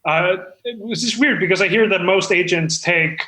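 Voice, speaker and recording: A man's voice: sits high, moderate pace, sharp tone. A man playing an eager, insecure amateur screenwriter in improvised comedy, speaking in an earnest, hesitant, rambling way. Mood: polite grievance. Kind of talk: improvised comedy podcast role-play.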